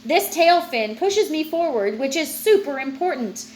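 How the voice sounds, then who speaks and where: A woman reading a children's picture book aloud, explaining as she goes and halting over harder words. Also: high voice, expressive, darkish tone, slight background noise. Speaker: storybook reader.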